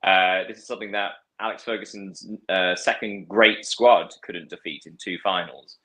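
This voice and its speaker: Man, low voice, bright tone, casual football talk, thoughtful, halting. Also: steady tone. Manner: thoughtful, many fillers and restarts. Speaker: podcast guest.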